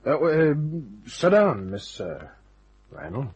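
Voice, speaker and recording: An actor playing a tough, sardonic hardboiled private detective in a radio drama, with clipped narration and dialogue. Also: male voice, mid-range voice, very animated, slow, darkish tone, husky, heavily acted. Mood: cool, businesslike.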